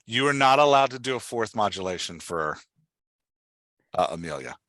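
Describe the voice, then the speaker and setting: Bright-voiced man coaching, close and dry. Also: fairly low pitch, expressive, moderate pace, dry recording. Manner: instructive, prodding with questions. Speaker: podcast host and dialogue writing coach. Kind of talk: casual one-on-one coaching talk.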